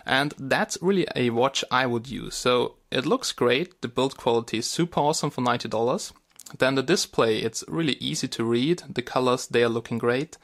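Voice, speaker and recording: A man's voice: medium pitch, normal speed, fairly bright tone. A man with a German accent and native German, giving a casual hands-on gadget review as a video host.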